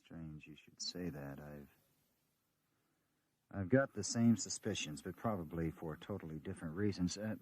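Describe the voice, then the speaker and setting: A man playing a steady, guarded government councilman in a science fiction audio drama, speaking in a measured way. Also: low-pitched, husky. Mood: guarded, curious.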